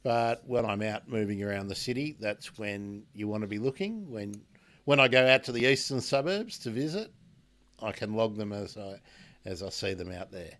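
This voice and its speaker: An Australian man hosting a radio show, chatting casually with a guest and pausing often to restart his sentences. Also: lowish voice, expressive, a bit bright. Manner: slow, halting, lots of restarts and fillers. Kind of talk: casual radio interview chat.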